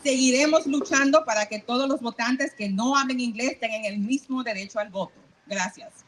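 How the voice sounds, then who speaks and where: Lady lawyer reading a formal statement, kinda high voice, faint hiss. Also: normal speed, fairly bright tone, rough recording. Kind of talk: prepared press statement. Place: outdoor rally.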